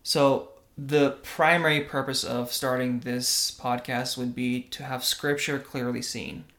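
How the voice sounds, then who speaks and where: A man's voice: mid-range voice, fairly even intonation, measured pace, a bit bright. Earnest young man hosting a faith podcast. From Russia. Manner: earnest, tag questions like "right?". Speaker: podcast co-host. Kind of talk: casual religious podcast talk.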